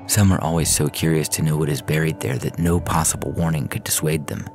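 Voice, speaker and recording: A man reading a scripted science fiction story in the first person, in a measured, literary storytelling manner. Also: extremely low pitch, fairly even intonation, darkish tone. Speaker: fiction podcast narrator. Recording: music bed under the reading. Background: music.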